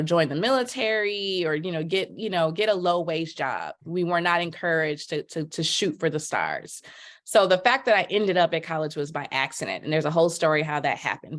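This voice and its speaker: A woman with a somewhat low voice tells her story in casual podcast talk. She is animated and expressive, leaping up in pitch whenever she acts out what others said. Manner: animated, voices quotes with big pitch leaps. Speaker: podcast guest.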